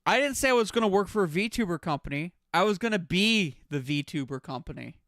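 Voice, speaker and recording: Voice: medium pitch, lively intonation, fast talker, fairly bright tone, no room sound, studio quality. A game streamer chatting casually and jokingly with viewers, playful and given to swearing. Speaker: game livestreamer.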